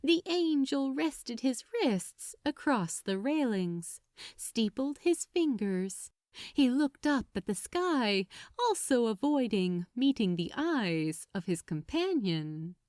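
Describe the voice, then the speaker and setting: High-pitched, expressive woman narrator. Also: takes it slowly, no room sound, clean studio sound. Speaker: audiobook narrator. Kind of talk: expressive scripted fiction narration.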